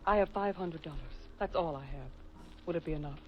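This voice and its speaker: An actress as a desperate pleading wife. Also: female voice, low voice, a little fast, natural voice. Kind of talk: 1940s radio acting. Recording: dull old radio recording, faint hiss.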